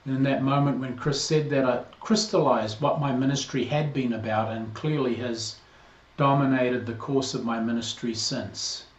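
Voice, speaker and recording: A man in his sixties with a New Zealand accent, a priest giving a measured, earnest greeting to a parish. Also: mid-range voice, steady tone, a little hiss or hum. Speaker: priest, incoming interim rector. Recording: roomy, slightly dull recording.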